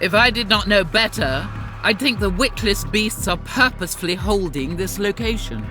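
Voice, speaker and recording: A woman's voice: medium pitch, animated, moderate pace, lightly acted. A woman playing a zealous, stern warrior sister in a game, speaking in solemn, pious, formal lines with music behind her. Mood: grim resolve. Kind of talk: dramatic scripted game narration and dialogue.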